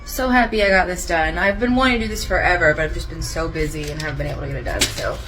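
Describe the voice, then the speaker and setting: A young adult woman, a comedy skit creator, talking in a chatty, expressive way. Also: low voice, fast, background noise. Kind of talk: sketch comedy and casual chat.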